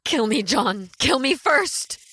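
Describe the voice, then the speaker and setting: A woman's voice: high voice, big pitch swings, slow, bright, forceful tone, husky, dry recording, heavily acted. A woman playing a terrified, pleading young woman in an old-time radio horror drama, breathless and melodramatic. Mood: desperate, pleading.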